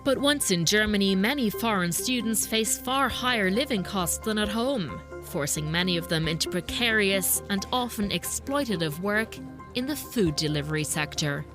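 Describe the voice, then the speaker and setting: A woman's voice: medium pitch, expressive. Woman narrating a news report over music. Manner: measured, explanatory.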